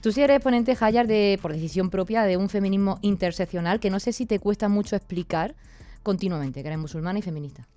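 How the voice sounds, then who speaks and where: A woman host fires off quick, playful questions. She talks fast, and her pitch sits in the middle. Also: warm tone, faint music behind. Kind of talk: casual interview.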